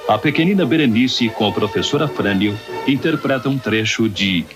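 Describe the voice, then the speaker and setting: Male announcer for radio drama: mid-range voice, scripted narration over a clear music bed. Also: mid-range voice, animated, normal speed.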